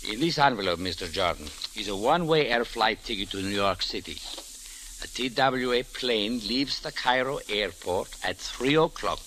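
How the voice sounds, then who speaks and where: Stern low-voiced Egyptian official, hissy recording. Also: male voice, lively intonation, fairly bright tone, poor audio. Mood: coldly polite. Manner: deliberate, formal, menacingly polite. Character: stern, formal, haughty Egyptian government official. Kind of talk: old-time radio drama acting. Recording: hissy old radio recording.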